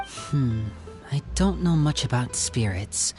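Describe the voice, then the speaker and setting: Charming young ringleader brother: mid-range, smooth, showy anime game delivery over clear music. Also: male voice, mid-range voice, lively intonation, slow, a little mellow. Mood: conspiratorial. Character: theatrical, charming young man, a scheming elder brother. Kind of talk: anime-style game voice acting. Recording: music behind the voice.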